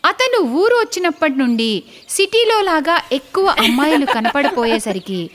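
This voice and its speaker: A woman's voice: high-pitched, sing-song, moderate pace, a bit bright. A woman narrating a scripted cartoon story in a dramatic style. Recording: clearly noisy background.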